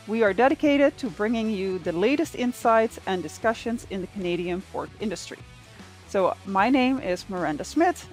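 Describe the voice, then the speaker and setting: A young woman hosting a podcast, reading her intro and questions in a friendly way with "um" and "like" fillers. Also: mid-range voice. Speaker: podcast host.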